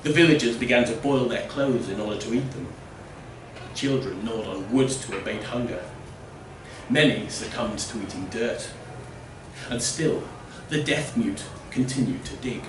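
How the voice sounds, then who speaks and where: A man reading a literary story aloud in a theatrical, deliberate way with strong emphasis. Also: medium pitch. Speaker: story reader. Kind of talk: dramatic literary story narration. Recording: roomy, distant miking with faint noise.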